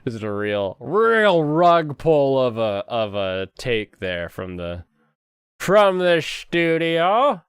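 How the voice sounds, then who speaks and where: A man hosting an anime review stream, chatting casually and rambling, with a jokey, animated manner. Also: medium pitch, slow, clear, resonant tone, no echo, studio quality.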